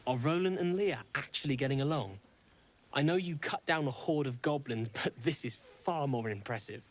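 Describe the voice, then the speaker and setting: A man's voice: mid-range voice, normal speed, close to an everyday voice. An actor playing an amused, teasing man at a celebration in a video game. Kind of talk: video game character dialogue.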